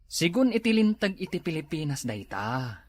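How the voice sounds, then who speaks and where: A man's voice: fairly high pitch, sing-song, normal speed. A man with an Ilocano accent, playing an evasive husband in a comedy drama, mumbling his excuses. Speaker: husband accused of cheating.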